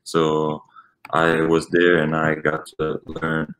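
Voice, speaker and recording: Very deep-voiced young Swiss man. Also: takes it slowly, a little hiss or hum, rough recording. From Switzerland. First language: Italian. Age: young adult. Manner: relaxed, many fillers. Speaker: college basketball player. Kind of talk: interview answers.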